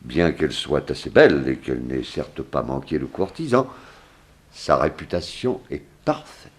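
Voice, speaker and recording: A small-town sheriff with a low, deep voice. His intonation rises and falls widely as he hesitates and exclaims. The delivery is folksy, flustered 1960s French radio acting. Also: sing-song, normal speed. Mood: puzzled, helpful.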